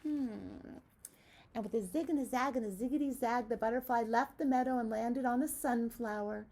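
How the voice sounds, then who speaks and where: A woman's voice: on the high side, normal speed, warm tone, poor audio. A woman teacher reading a picture book aloud expressively to young children, adding encouraging asides and questions.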